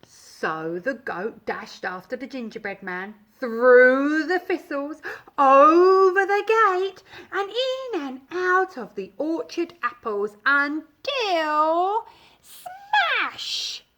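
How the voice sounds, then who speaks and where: A woman's voice: sits high, very animated, very slow, a bit bright. A woman reading a children's story aloud in a dramatic, emphatic storytelling style.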